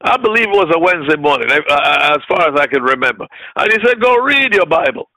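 A man's voice: a little high, expressive, quick pace, bright, forceful tone, no room sound, rough recording. A man preaching a fervent sermon, emphatic and repeating short phrases for effect.

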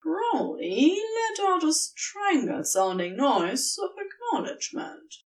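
A woman narrates fiction in a medium-pitched voice. Her reading is unhurried and animated, shaped like an audiobook, with the microphone slightly distant. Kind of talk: expressive scripted fiction narration.

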